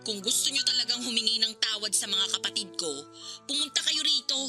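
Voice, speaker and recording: A woman's voice: on the high side, a little fast, lightly acted. An actress playing a hurt, resentful grown daughter reproaching her father in an emotional radio drama. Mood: reproachful. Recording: dramatic music behind the voice. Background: music.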